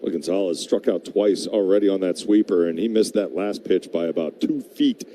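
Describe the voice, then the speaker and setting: An American male color commentator with a lowish, warm, mellow voice. He talks baseball in a chatty, informal way with plenty of emphasis, over faint ambient noise. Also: moderate pace. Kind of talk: conversational live sports analysis and banter.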